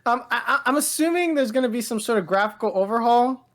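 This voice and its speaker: A woman co-hosting a casual pop-culture news chat, speaking in an informal, halting, slightly bewildered way with slang. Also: a little high, animated. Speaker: podcast co-host.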